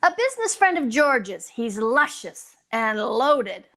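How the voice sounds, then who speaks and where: A meddlesome young wife from a classic radio comedy, played by an actress. Her voice is high and swoops widely as she turns coy and teasing, always scheming to make a match in a theatrical way. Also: big pitch swings, slow, fairly bright tone, exaggerated voice. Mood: gleeful. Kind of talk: old-time radio sitcom acting.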